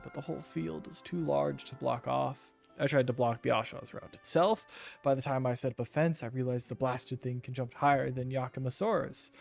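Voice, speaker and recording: Gravelly man streaming a game. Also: mid-range voice, darkish tone. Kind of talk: reading game text aloud and casual commentary.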